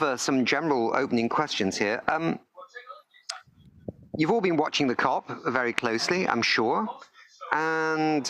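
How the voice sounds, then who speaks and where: A man's voice: medium pitch, fairly bright tone. Male panel moderator, mid-range voice, informal, slightly hesitant live hosting.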